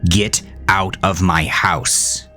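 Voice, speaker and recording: A man acts a shaken, defiant farmer in a horror audio drama. The voice sits low, moving between stammers, barks and growls, with music underneath. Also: fairly even intonation, drawn-out words, pushed and bright, exaggerated voice. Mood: defiant, fearful. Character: grieving farmer son, armed, frightened, defiant.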